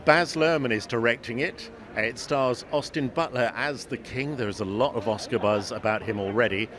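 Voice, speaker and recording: A man's voice: medium pitch, normal speed, a crowd in the background. A man working as a radio reporter, presenting and interviewing on location outdoors at a film premiere. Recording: noisy outdoor street, urban bustle.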